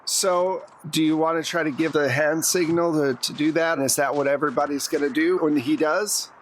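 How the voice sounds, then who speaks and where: A man's voice: a little high, fairly even intonation, fairly quick, slight background noise, poor audio. A man narrating a tabletop roleplaying game as game master, describing scenes and putting questions to players. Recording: music behind the voice.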